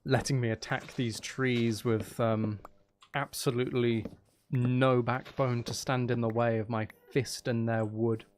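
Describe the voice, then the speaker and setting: A man's voice: medium pitch, level tone, a little slow, darkish tone, slight background noise. A man live streaming in a casual, chatty way, with dry, teasing humour while he plays a game.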